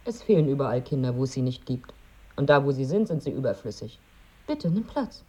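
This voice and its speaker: A woman's voice: very deep voice, very animated, brisk, darkish tone, slightly rough, exaggerated voice. An actress playing a melancholy, bitter married woman in a radio drama, with a brooding, wry, weary manner and diction. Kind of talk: radio drama monologue and dialogue.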